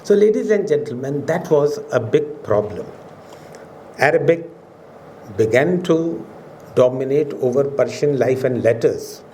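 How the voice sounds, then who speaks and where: An older man from India with an Indian English accent, whose first language is Urdu, giving a formal academic lecture in a measured, oratorical way, pushing his voice up to stress key words. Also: medium pitch, lively intonation, warm tone, a little hiss or hum. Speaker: professor giving a public lecture. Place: museum auditorium.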